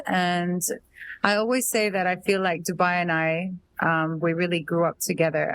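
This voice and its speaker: A woman's voice: lowish voice, slight background noise. A woman from Australia, a singer-songwriter and entrepreneur, talks as an interview guest in a thoughtful, rambling way full of fillers. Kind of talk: casual interview chat.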